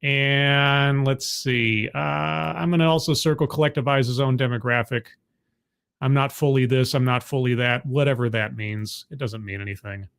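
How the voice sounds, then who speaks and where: A man's voice: medium pitch, steady tone, normal speed, vocal fry, fairly bright tone, clean tone. A man hosting a reaction commentary, talking in a sarcastic, interrupting, exasperated way. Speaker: commentary host reacting to a talk.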